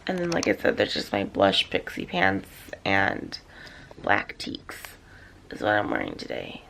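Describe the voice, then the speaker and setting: A young woman, a student teacher, chatting casually in a vlog, rambling with lots of "um" and "like", her voice slightly hoarse as she loses it. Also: rumbling low, wildly swooping pitch, measured pace, a bit bright, slight background noise. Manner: rambling, full of "um" and "like".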